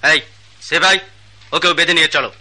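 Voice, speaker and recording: An actor playing a stern, commanding police officer in a radio drama. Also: male voice, a little high, fairly quick, bright, forceful tone. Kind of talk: Bengali radio play acting.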